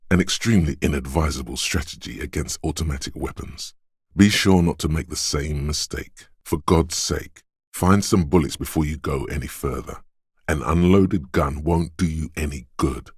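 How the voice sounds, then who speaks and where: An actor voicing a solemn inner voice of instinct and marksmanship in a video game, with measured, deliberate, dramatic narration and a husky, gravelly tone. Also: male voice, rumbling low, darkish tone, no echo, clean studio sound, full character voice. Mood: grave, urgent.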